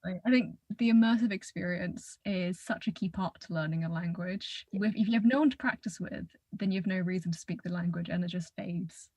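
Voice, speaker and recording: A woman's voice: lowish voice, brisk, hardly any pauses, a little mellow, slightly rough, no echo. A young woman producer interviewing a guest, joking bluntly and chatting candidly. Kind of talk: casual interview.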